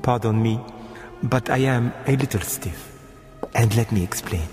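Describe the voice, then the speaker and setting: An actor playing a sinister, leisurely murderer in a radio horror drama, speaking formally and precisely with mocking courtesy, with music under the voice. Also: male voice, medium pitch, unhurried, long reverb tail, lightly acted. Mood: icily polite. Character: suave, sinister male murderer, icily polite and leisurely.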